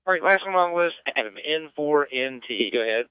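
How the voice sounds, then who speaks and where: A man's voice: fairly high pitch, animated, brisk, clear, resonant tone. A man acting as net control, casually moderating check-ins over amateur radio. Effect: amateur radio transmission. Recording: dull band-limited radio audio.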